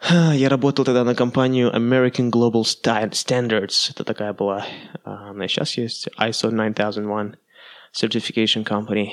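A young man hosting his own podcast, talking casually and loosely with many fillers and English words mixed in. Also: lowish voice. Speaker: podcast host. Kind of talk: casual solo podcast monologue.

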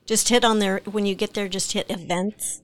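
A woman's voice: medium pitch, steady tone, normal speed, dry recording. A woman, an actress guest, telling stories casually and emphatically in a podcast chat.

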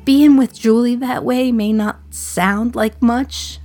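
A woman's voice: a little high, fairly even intonation, slow, dark tone, soft background music. An actress playing a condemned woman prisoner in her thirties, talking to the listener in a wry, sardonic, confiding way in a radio play monologue. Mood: wistful.

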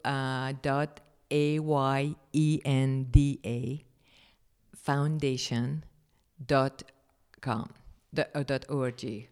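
A man's voice: medium pitch, level tone, very slow, darkish tone, clear, resonant tone. A man, answering interview questions hesitantly and restarting often. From Afghanistan. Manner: hesitant, searching for words, many restarts. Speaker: charity founder, guest.

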